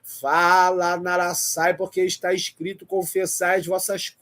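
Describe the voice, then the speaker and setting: Fervent Brazilian man praying, slightly high voice. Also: flat delivery, unhurried, a bit bright. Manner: repetitive, pleading invocations with chanted syllables. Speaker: preacher leading live online prayer. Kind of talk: fervent Pentecostal prayer with glossolalia.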